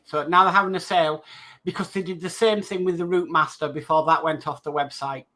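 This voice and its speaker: A man's voice: on the high side, steady tone, fairly quick, bright, forceful tone. A man co-hosting a live stream, reading out viewer comments and chatting about them casually. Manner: reads chat comments aloud, then chats loosely. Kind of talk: casual chat.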